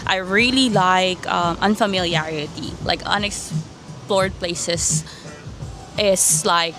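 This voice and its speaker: A woman's voice: lowish voice, takes it slowly. A woman from the Philippines with a Filipino accent, chatting in an informal, rambling, slangy way as a vlogger. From Philippines (Manila). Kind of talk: casual chatty personal vlog. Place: quiet empty cafe. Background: music.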